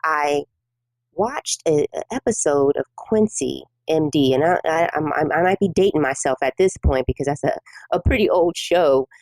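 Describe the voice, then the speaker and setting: Middle-aged woman from south korea, korean native, really low gravelly voice, dry close sound. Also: expressive, no room sound, poor audio. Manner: soft-spoken and measured, many pauses and fillers. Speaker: guest minister. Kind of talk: personal testimony in an interview.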